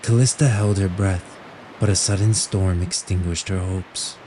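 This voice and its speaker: A man's voice: low voice, steady tone, unhurried, background noise. A man reading scripted storybook narration as the narrator of an audio drama. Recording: music under the voice. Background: background music.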